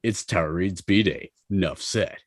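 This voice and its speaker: A man's voice: sits low, slow pace, no echo, studio quality. A man playing a cocky, easily frustrated finance-bro ghost in a table read. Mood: bragging.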